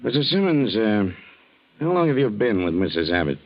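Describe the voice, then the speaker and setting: A stern police captain in a 1940s radio crime drama, with a low voice. He is blunt, probing and authoritative, heard on a dull vintage recording. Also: very animated, moderate pace, vocal fry. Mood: probing, businesslike.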